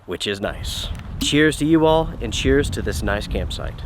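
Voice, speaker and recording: Man, camp vlogger, nasal from flu, relaxed chatty read outdoors on camera mic. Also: medium pitch, a little slow, noisy background. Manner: relaxed, chatty, addresses viewers directly. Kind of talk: casual talk to camera. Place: outdoors at a campsite. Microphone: camera-mounted shotgun mic.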